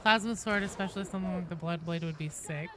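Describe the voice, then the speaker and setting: A woman streaming a game and chatting casually with viewers in a rambling, sweary, animated way. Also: fairly low pitch, quick pace. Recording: clearly noisy background.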